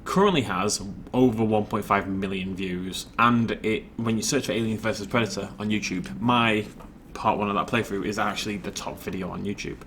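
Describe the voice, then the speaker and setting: A man's voice: on the low side, moderate pace, few pauses, fairly bright tone, a little hiss or hum. A man casually rambling while commenting on his own gameplay, chatty and self-deprecating. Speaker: let's play host.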